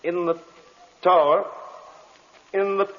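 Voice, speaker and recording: An actor playing the defiant, entranced soul of a sleeping magician, halting and drawn-out, in old radio horror style. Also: male voice, high-pitched, fairly even intonation. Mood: reluctant, anguished. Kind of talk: 1930s-40s radio horror acting. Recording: muffled vintage radio recording.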